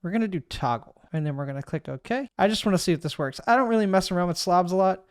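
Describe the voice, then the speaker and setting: A man with a smooth, clean voice sitting a little high for a man talks through a software setup step by step. He thinks aloud as he clicks, in an easygoing tutorial manner, and the sound is close and dry with no room around it. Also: lively intonation, fast talker, no room sound. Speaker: streamer giving a software tutorial. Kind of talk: casual screen-recorded walkthrough. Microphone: desk microphone.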